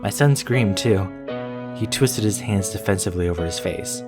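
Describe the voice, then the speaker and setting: A man with a low voice reads a first-person horror story. His delivery is deliberate and measured, like a storyteller. Plucked guitar music plays clearly behind him. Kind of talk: scripted first-person narration.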